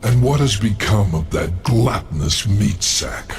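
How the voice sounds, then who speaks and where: An actor playing a sly, sinister demon merchant in a video game, gravelly, mockingly courteous. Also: male voice, on the low side, animated, a little slow, a little mellow, faint music behind. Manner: sardonic, insinuating. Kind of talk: dramatic video game cutscene acting.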